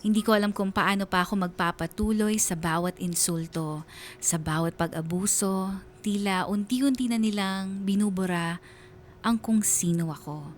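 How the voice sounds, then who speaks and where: A woman's voice: mid-range voice, normal speed, a little hiss or hum, natural voice. A woman narrating as a troubled letter writer on a radio drama, heartfelt and confiding, with a Filipino accent. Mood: despairing. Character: troubled, disheartened female letter writer telling her story.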